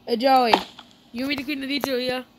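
A woman chatting in character during a casual game roleplay, breaking into excited exclamations. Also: high voice, brisk, noisy background. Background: loud game music.

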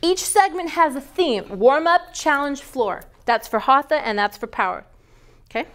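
High-voiced woman teaching yoga trainees. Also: moderate pace. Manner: lively, quizzes students with questions. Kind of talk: teaching a live training class. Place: large wooden-floored yoga studio. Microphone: far camera.

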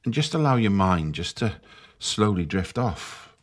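A man leading a guided meditation in a soothing, measured, instructive manner. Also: on the low side, big pitch swings.

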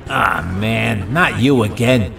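A man playing a gruff, gravelly, irritable hulking supervillain in a superhero audio drama. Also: lowish voice, slow, full character voice. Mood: sneering, irritated. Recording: distant sound under loud music.

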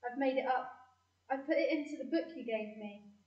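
A woman's voice: high-pitched, normal speed, close to an everyday voice. A woman playing an imaginative, plucky, bookish girl in an audio play. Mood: earnest. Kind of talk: audio play narration and dialogue. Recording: echoey room, distant, dull sound.

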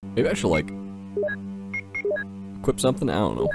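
A man's voice: fairly low pitch, huge pitch swings, normal speed, creaky, over music. A man giving casual let's play commentary, crude and exclamatory, swearing freely. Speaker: gaming video host.

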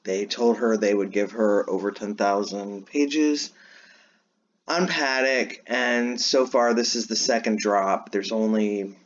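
A man's voice: on the low side, fairly even intonation, takes it slowly, muffled recording, slight background noise. A man hosting his own channel, talking casually through a screen-share walkthrough in a chatty, rambling way. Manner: chatty and rambling, frequent "um" and "anyways". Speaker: channel host.

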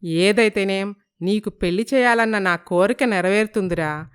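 Woman as smooth-voiced, chiding mother. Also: mid-range voice, normal speed, lightly acted. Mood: chiding, relieved. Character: chiding, fond middle-aged mother of the groom. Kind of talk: dramatized moral story dialogue.

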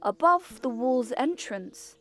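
Give me a high-voiced genteel young lady. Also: animated, slow. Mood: earnest, measured. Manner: theatrical, formal, earnest. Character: Lady Mary, earnest genteel young bride-to-be. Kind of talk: storybook audio drama, narrating and acting. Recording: music behind the voice.

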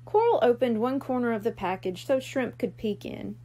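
A woman reading a children's story aloud in an expressive narration style. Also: a little high, normal speed. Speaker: storybook reader.

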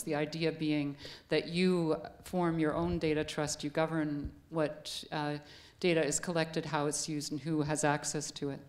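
Middle-aged woman panelist, deep-voiced and explanatory. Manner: explanatory, with fillers and restarts. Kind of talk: panel discussion. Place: conference stage in a hall. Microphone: handheld mic.

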